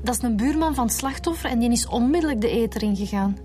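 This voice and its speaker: Female actor, businesslike Flemish detective, higher pitch, factual, measured, radio drama. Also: expressive, music in the background, close to an everyday voice. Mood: informative. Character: diligent, businesslike female detective reporting findings. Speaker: police detective. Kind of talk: naturalistic radio drama dialogue.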